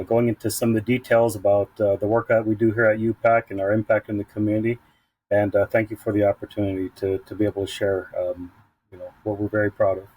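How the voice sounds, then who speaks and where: A man from San Diego, a guest in an interview, talking about his work in a halting way with many ums and restarts. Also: fairly low pitch, darkish tone, low-quality recording. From San Diego, United States. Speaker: community program leader, guest. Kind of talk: conversational interview.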